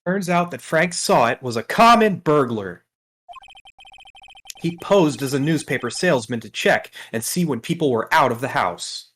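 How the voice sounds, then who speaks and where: A woman's voice: low voice, lively intonation, moderate pace, breathy edge. A woman voicing a nervous, earnest young male rookie defense lawyer in a video game. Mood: sober, narrating. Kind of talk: video game dub with inner narration.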